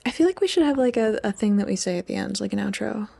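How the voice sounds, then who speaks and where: Young woman podcaster with vocal fry. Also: mid-range voice, lively intonation, fast talker, warm tone. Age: young adult. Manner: conversational, affirming, frequent "like". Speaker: podcast co-host, English PhD student. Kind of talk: casual podcast chat.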